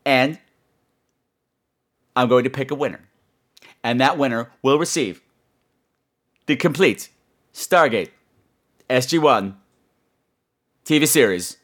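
A man's voice: mid-range voice, takes it slowly, pauses often. A man hosting a horror podcast, chatting to his listeners in a campy, playful, self-mocking and emphatic way. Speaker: podcast host.

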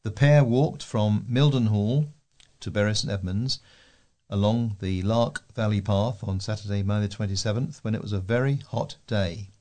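A man's voice: on the low side, fairly even intonation, moderate pace, warm tone. A man reading local newspaper letters aloud as a volunteer newsreader.

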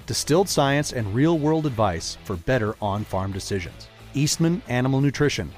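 A man's voice: mid-range voice, animated, moderate pace, darkish tone. A man reading a scripted podcast introduction and sponsor messages as an announcer. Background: guitar music.

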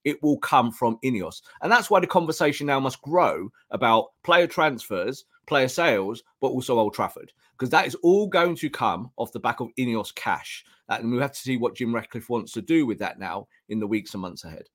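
A man's voice: medium pitch, animated, moderate pace, few pauses, fairly bright tone. A man co-hosting a football podcast, talking earnestly with frequent restarts while losing his voice. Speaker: podcast co-host. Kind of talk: casual football fan discussion.

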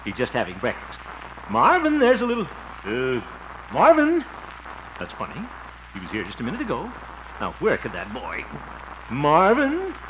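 A man's voice: on the high side, wildly swooping pitch, moderate pace, long pauses. An actor playing a fussy, exasperated grown-up man in the exclamatory style of 1950s radio comedy. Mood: flustered, hearty. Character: fussy, blustering grown-up man minding a small boy. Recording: muffled, noisy old radio recording.

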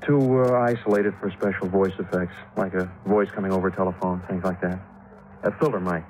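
A man plays a radio engineer in his thirties in an old radio drama. He has a fairly low voice and a casual, confiding way of talking, affable with some bitterness. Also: steady tone, a little fast, a little mellow, lightly acted, rough recording. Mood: helpful. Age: 30s. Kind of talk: 1950s radio drama acting.

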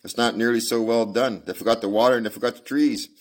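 A man's voice: medium pitch, fairly even intonation, fast talker, voice pushed up, lightly acted. Small girl lost in the desert, put on by a man, childlike, trusting, cheerful, storybook reading. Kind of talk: amateur audiobook reading.

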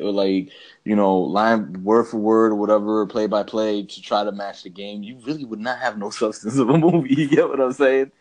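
A man's voice: lowish voice, a little mellow. A man chatting casually as a podcast co-host, slangy and ranting about movies, often addressing his partner as bro.

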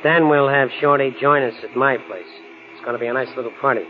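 A man's voice: mid-range voice, steady tone, fast talker, soft background music, lightly acted. An actor playing a menacing, sneering male gangster with tough, slangy talk in 1940s radio style. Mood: smug, threatening. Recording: tinny, narrow old radio recording.

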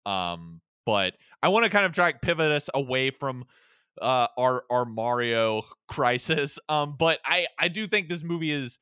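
A man's voice: medium pitch, sing-song, measured pace, pushed and bright, clear, resonant tone, dry recording, clean studio sound. A man hosting a podcast and chatting casually about films, feeling his way through sentences with stammered starts. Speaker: podcast host. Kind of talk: casual film discussion.

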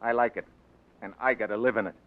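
An American actor playing a rough, slangy crook turned stubborn governor in a 1940s radio drama. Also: male voice, fairly low pitch, steady tone, fast, close to an everyday voice. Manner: gruff, slangy, tough-guy delivery. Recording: muffled, faintly noisy 1940s radio recording.

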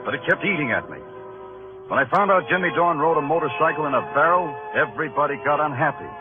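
An actor playing a hard-boiled New York police detective in a 1950s radio crime drama, with an American accent and a wry, clipped, world-weary manner. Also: male voice, a little high, expressive, fast talker, lightly acted. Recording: muffled vintage radio broadcast with music.

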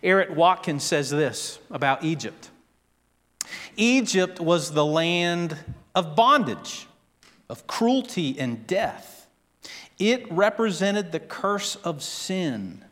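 A man preaching a sermon, speaking slowly and deliberately and acting out quoted voices with drama. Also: fairly high pitch, sing-song, a bit bright. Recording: long hall reverb.

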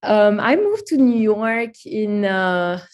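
A woman's voice: medium pitch, takes it slowly, background noise. A woman in her thirties from Belgium, chatting as a guest in a remote interview and telling stories with many fillers. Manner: chatty, animated storytelling with fillers. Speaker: guest, company CEO.

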